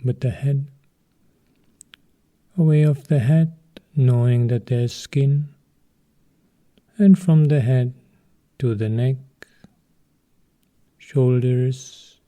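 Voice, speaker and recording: A man leading a guided meditation as a teacher, speaking calmly and in short, measured phrases with long pauses. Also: mid-range voice.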